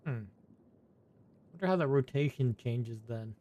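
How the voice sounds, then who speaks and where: A man streaming a game, giving offhand, low-key commentary and muttering through on-screen text as he reads. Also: expressive, measured pace, dark tone, muffled recording, a little hiss or hum. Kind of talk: casual gameplay commentary. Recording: game music playing behind.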